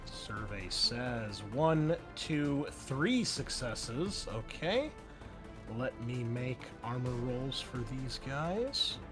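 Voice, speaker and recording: A man's voice: mid-range voice, sing-song, extremely slow, over music. A man acting as game master, narrating and refereeing a tabletop roleplaying game in an informal, conversational way.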